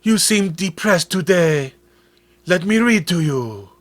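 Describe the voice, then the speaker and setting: A man's voice: high voice, expressive, slow pace, voice pushed up, full character voice. A man voicing an enchanted statue woman, tender and devoted, in a soothing, compliant manner for a horror comic.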